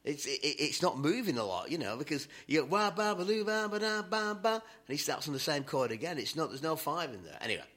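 A man's voice: on the high side, big pitch swings, fast, few pauses, a bit bright. Rambling male podcast host. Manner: rambling, many fillers and restarts. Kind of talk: casual chat.

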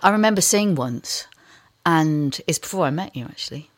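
A woman with a somewhat low voice chats on air about music and films. She talks with eager enthusiasm, rambling and restarting her sentences as she goes. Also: lively intonation, moderate pace. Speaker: radio co-host. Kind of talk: casual chat.

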